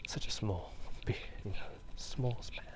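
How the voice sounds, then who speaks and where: A man whispering a casual, rambling ASMR chat in a halting, unhurried way while out walking through a town at night. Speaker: ASMR video host.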